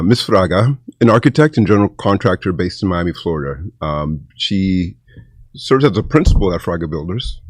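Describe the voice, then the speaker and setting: A man hosting a podcast, introducing guests in a halting way with many fillers and restarts. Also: low-pitched, a little mellow, no echo. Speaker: podcast host.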